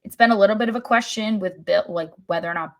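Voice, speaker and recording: A woman co-hosting a soccer podcast, discussing the game casually with deliberate, thoughtful phrasing. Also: mid-range voice.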